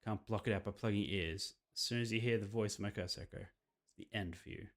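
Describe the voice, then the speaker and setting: A man's voice: on the low side, steady tone, brisk, natural voice. A man reading aloud a cocky, boastful rival curse bearer from a horror mystery visual novel, with taunting menace. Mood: menacing.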